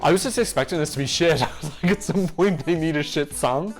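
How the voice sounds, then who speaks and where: Excitable man reacting to songs. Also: fairly high pitch, quick pace. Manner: chatty and excitable, swears casually. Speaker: Eurovision reaction YouTuber. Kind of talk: casual reaction commentary. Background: faint music playing.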